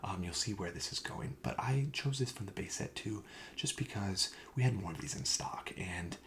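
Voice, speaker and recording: ASMR clerk role, male: deep, hushed and crackly, gently explaining the goods to a customer. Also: lively intonation, normal speed. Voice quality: soft, breathy, often near a whisper. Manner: gentle, explanatory sales patter. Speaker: card shop clerk in an ASMR roleplay. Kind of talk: soft-spoken ASMR roleplay.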